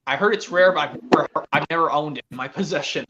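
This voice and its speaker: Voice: medium pitch, noisy background. A stream host chatting casually on a video call, often stopping and restarting sentences. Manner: halting, many restarts.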